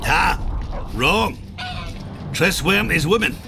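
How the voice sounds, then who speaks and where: An actor playing a crude, blustering, hearty dwarf warrior telling tales in a video game. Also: male voice, high-pitched, animated, slow pace, noisy background, full character voice. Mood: storytelling. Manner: gruff, colourful, blustering. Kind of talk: video game character acting. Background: music.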